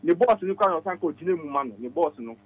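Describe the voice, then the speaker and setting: A man calls in to a radio show. He talks very fast in a fairly high voice, stammering and repeating his words again and again. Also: lively intonation, lo-fi sound. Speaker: caller.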